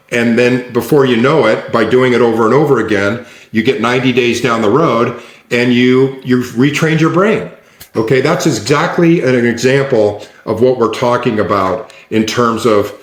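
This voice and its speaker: A man coaching as a guest, explaining things in a measured, patient way and repeating phrases. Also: mid-range voice. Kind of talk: explaining in an interview. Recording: echoey room.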